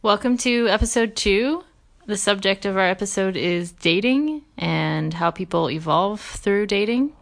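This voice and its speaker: A woman co-hosting a podcast, introducing the guest in a thoughtful, measured way with frequent fillers. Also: mid-range voice, animated, gravelly. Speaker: podcast co-host.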